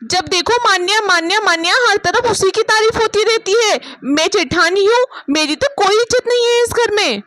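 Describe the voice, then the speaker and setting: A woman's voice: very high voice, normal speed, few pauses, fairly bright tone, no room sound, pitched above the performer's own voice. A woman voicing a jealous, hot-tempered elder daughter-in-law in a cartoon moral story, scolding and complaining sharply. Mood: angry, defiant.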